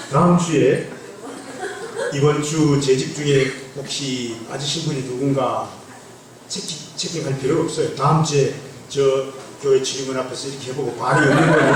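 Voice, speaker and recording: A man's voice: medium pitch, moderate pace, background noise. A man from Andong with a slight North Gyeongsang accent, a guest pastor giving a playful sermon that teases the congregation. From Andong, South Korea. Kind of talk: joking testimony sermon. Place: church sanctuary. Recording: echoey church from a distance.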